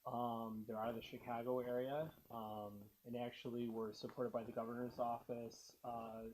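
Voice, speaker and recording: A man from district technology staff giving a relaxed, informal update to a school board. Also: mid-range voice, a little hiss or hum, poor audio. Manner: relaxed, laughing lightly, informal.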